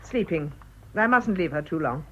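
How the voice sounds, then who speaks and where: A woman's voice: deep voice, animated, normal speed, sharp tone, heavily acted. An actress playing a composed, earnest middle-aged clergyman's wife in a radio drama. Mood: guarded. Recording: dull lo-fi radio recording.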